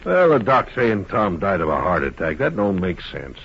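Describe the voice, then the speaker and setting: An actor playing a slow, puzzled small-town sheriff in a radio western, with a folksy, halting drawl. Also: male voice, sits low, very animated, slightly rough, full character voice. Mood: troubled. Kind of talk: 1950s radio western acting. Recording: dull, noisy old radio recording.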